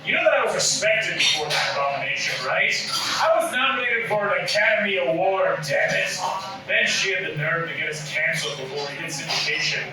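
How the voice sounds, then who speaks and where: A man's voice: a little high, lively intonation, a little fast, over loud music, natural voice. Man playing a bitter, indignant older actor, echoey room. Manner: indignant, curmudgeonly, emphatic. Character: angry, bitter older washed-up male sitcom actor. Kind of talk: table read of a comedy pilot. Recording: echoey room, distant mic, noisy.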